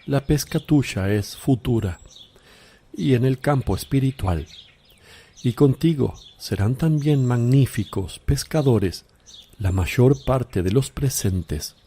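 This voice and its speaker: Calm solemn religious teacher, slow deliberate phrasing, warm dark timbre, radio drama. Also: male voice, mid-range voice, husky, full character voice. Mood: calm, assuring.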